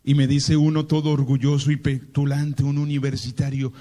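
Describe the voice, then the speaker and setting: A Colombian priest with a paisa accent from Medellín, preaching a sermon through a slow, dramatic personal story full of rhetorical questions. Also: male voice, fairly high pitch, flat delivery, warm tone.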